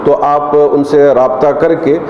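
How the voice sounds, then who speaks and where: A man's voice: on the high side, flat delivery, slow. A man speaking as a community leader, explaining and making a public appeal. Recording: echoey room, dull audio, faint noise.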